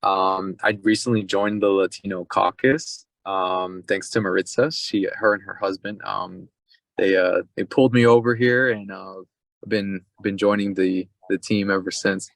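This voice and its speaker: A man's voice: sits low, steady tone, unhurried, gravelly, no room sound. A man from Peru introducing himself hesitantly at an online meeting. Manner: hesitant, many fillers. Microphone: video call.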